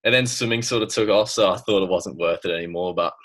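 A young man with an Australian accent talks from his bedroom over a laptop webcam. His voice sits very low, and he rambles easily, leaning on fillers and breaking into quick laughs. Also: a bit bright. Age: young adult. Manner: laid-back, laughs often, trails off with fillers. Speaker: guest swimmer. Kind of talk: casual chat. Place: bedroom at home. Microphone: laptop webcam on video call.

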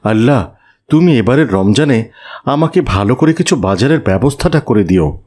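Animated, humble pious father. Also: medium pitch, moderate pace, slightly rough. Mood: prayerful. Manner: expressive, earnest. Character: humble, pious, poor father who leads prayers. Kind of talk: cartoon voice acting.

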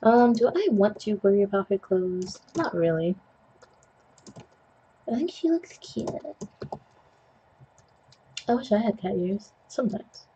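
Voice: medium pitch, animated, measured pace, pauses often, warm tone, dull audio, a little hiss or hum. A young adult host rambling jokily with puns while painting at a desk, coughing now and then, on a webcam mic at home. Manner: chatty, self-deprecating, jokey with puns. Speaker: digital art tutorial host.

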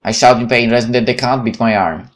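A man's voice: medium pitch, quick pace. A man playing a visual novel, reading it aloud and adding casual, playful asides. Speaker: gameplay streamer.

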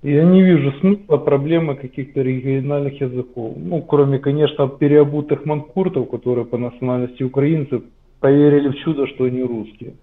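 A man's voice: medium pitch, steady tone, fairly quick. Insistent debating man, forties, headset on a thin lo-fi call. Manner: insistent, explaining. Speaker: video chat guest. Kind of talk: casual debate. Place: small room indoors. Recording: tinny lo-fi video call.

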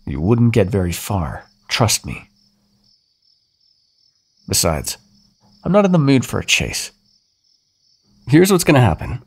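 A man's voice: lowish voice, huge pitch swings, takes it slowly, long pauses, breathy edge, heavily acted. A man voicing a centuries-old male vampire in an audio roleplay, condescending and teasing with sudden threats. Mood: menacing.

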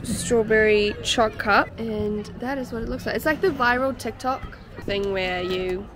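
A woman in her twenties talks to her camera in a casual, chatty way. Her voice sits fairly high. It is a handheld vlog recording with faint noise around her. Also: unhurried. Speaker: vlogger. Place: living room, car park and outdoor cafe. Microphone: camera at arm's length.

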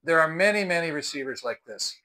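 A man's voice: a little high, expressive, fairly quick, sharp tone. An American man in his sixties explaining products to camera in a conversational, emphatic, unscripted way. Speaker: product review host. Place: outdoors on a house porch by the front door.

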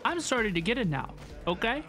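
A woman giving casual, excited reaction commentary as a video host, exclamatory and slangy, often repeating herself. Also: on the high side, a little fast, faint music behind.

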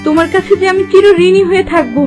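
Woman acting landlord's young daughter, extremely high voice, sweet, earnest, cartoon dub, clear music behind. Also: fast talker. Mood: grateful, tender. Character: gentle, grateful, devoted young landlord's daughter. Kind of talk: Bengali fairy-tale cartoon dub.

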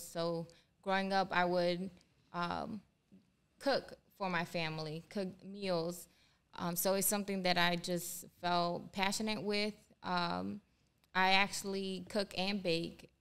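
Young woman from Ohio, Spanish first language, flat even delivery, very clear voice, hesitant with fillers. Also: on the low side. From Ohio, USA. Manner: hesitant, many "um"s. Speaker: guest, army culinary instructor. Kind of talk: casual interview. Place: small studio room. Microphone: desk mic on boom, headphones.

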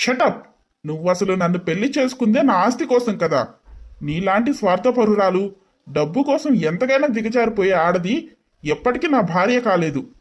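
A woman's voice: mid-range voice, expressive, moderate pace, pitched below the performer's own voice, lightly acted. A woman voicing a contemptuous, accusing young husband in a dramatized story. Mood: harsh, angry.

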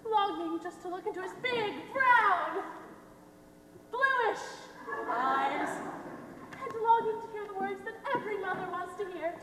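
A woman's voice: extremely high pitch, fairly bright tone. A young American woman playing a pompous, gushing middle-aged mother in a stage melodrama, declaiming grandly. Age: young adult. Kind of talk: projected stage acting. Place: theater stage, distant camera. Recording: echoey noisy hall from far off.

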